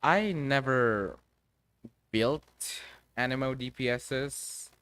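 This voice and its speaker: A man live-streaming a game, thinking aloud in a casual, halting way with some mild swearing. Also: medium pitch, fairly bright tone, clear, resonant tone, dry recording.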